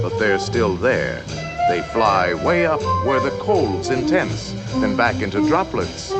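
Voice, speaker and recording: A man narrating an animated science film in a scripted, theatrical storytelling style. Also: on the low side. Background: music.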